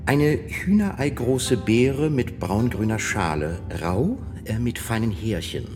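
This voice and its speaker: A man's voice: medium pitch, expressive, slow, music in the background. An actor playing a dry, sardonic male doctor who speaks precisely and slips in sarcastic remarks in a radio play. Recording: reverberant room.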